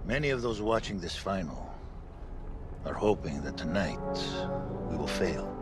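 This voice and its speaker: An actor playing a solemn, commanding football leader giving a measured, grand motivational speech in a sports game. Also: male voice, low voice, expressive. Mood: grave. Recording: loud dramatic music under voice.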